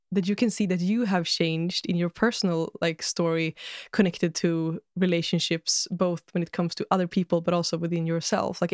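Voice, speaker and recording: A woman's voice: lowish voice, no room sound, clean studio sound. A woman hosting a podcast, asking long searching questions full of "like" fillers. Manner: warm, searching, many "like" fillers. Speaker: podcast host. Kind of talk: casual interview questions.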